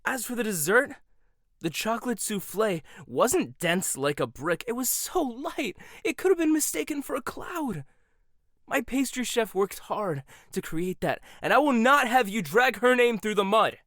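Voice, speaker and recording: A man voices a proud young head chef with a sharp, sarcastic tongue who swings his voice up and down as he argues. He sounds close and dry, with no room around him. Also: high-pitched, expressive, measured pace, no echo. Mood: defensive. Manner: sarcastic, confrontational. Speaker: voice actor. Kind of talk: audio roleplay.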